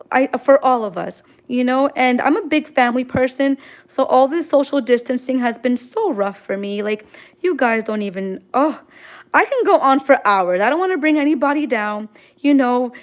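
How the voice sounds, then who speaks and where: Gushing high-voiced New woman. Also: animated, normal speed. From Queens, New York City, United States. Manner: gushing, effusive, sprinkled with exclamations. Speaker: manga artist hosting a drawing livestream. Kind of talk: casual livestream chat, answering viewer comments.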